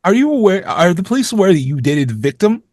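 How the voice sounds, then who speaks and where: A man playing a witty, sarcastic high-school boy in a table read of a slasher script. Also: sing-song, fast talker, darkish tone, no room sound, studio quality. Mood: wisecracking. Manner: wisecracking, sarcastic.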